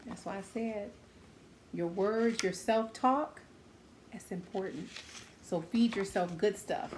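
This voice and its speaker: A woman's voice: medium pitch, takes it slowly, darkish tone, a little hiss or hum. A woman reading a children's book aloud, adding simple, encouraging asides spoken directly to her young listeners. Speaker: children's story-time host reading a picture book aloud.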